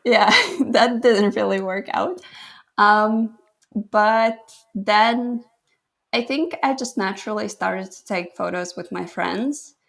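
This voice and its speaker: A woman's voice: mid-range voice, lively intonation, slow pace, gravelly. A woman in her twenties from Latvia, a guest photographer telling her story in a modest, laughing way. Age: 20s. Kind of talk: casual interview chat.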